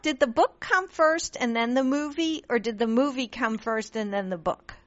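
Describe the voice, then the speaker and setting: A woman born in Pakistan, raised in London and Montreal, hosting a radio interview with lively, laughing chat and frequent restarts. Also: on the high side, normal speed, no room sound. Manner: laughs often, animated, many restarts. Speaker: radio show host. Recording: dull dry radio audio.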